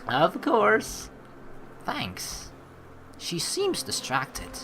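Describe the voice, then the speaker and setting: A young man hosting a let's play, reading the story aloud and adding expressive, rambling commentary. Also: high-pitched, extremely slow, noisy background.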